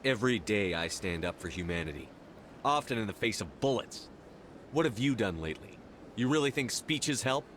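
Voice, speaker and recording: An actor playing a blunt, no-nonsense military commander in a science fiction game, terse and direct. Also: male voice, fairly low pitch, animated, moderate pace, a bit bright, a little hiss or hum. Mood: brusque, challenging. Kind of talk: video game dialogue acting.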